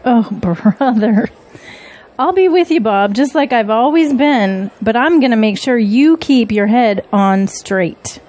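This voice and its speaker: An actress playing a loyal, level-headed political confidante in an audio drama, speaking in a measured, gently cautioning way. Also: female voice, a little high, expressive. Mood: wry, supportive.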